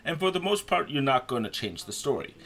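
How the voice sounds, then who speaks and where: Man with lively, swinging intonation. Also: mid-range voice, a little fast. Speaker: video game reviewer. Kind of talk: review voice-over commentary.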